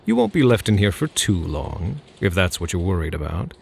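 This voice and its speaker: Casting: male actor for playful, smug gentleman thief, ASMR roleplay; gravelly, very animated delivery, teasing, flirty, mock-courteous. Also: low-pitched, moderate pace, exaggerated voice. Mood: smug, mock-caring. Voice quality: husky, gravelly. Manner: teasing, mock-polite, theatrical.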